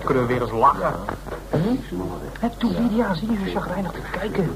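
An actor in a Dutch radio drama playing a cheeky, easygoing man, a smooth-talking flirt with a casual, nonchalant manner. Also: sits high, sing-song, fairly quick, very noisy. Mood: cheerful, coaxing.

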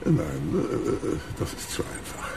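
A man's voice: slow pace, a little mellow, slight background noise, lightly acted. An actor playing a sadistic, ice-cold, decrepit old villain who savors his cruel words in a German radio drama. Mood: gloating, musing. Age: older.

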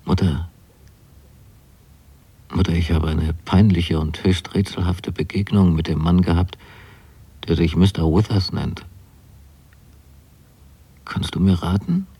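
Deep-voiced, earnest son writing home. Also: male voice, steady tone, slow, long pauses, mellow, breathy edge, full character voice. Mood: puzzled. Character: earnest, rapturous son writing to his mother. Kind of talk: radio play monologue, literary letter.

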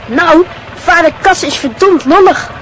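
A brash, boastful schoolboy, voiced by a woman, high and bright in tone but slow and drawling in delivery. He talks crudely and cockily in an old radio play, with a faint hum of street noise behind him. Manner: cocky, crude, laughing.

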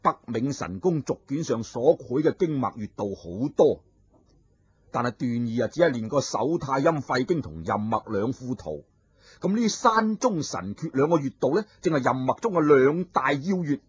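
A man's voice: mid-range voice, very animated, moderate pace, slightly rough, no echo. A man narrating a martial-arts tale in a dramatic traditional storytelling style. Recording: dull lo-fi recording.

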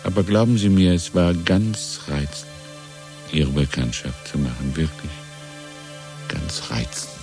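Sly, very deep-voiced old landlord. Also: male voice, fairly even intonation, darkish tone, faint music behind. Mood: smug, falsely courteous. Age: older. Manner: unctuous, mock-polite, drawn-out. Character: sly old landlord, secret thought-police informer, falsely courteous. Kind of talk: 1970s German radio drama acting.

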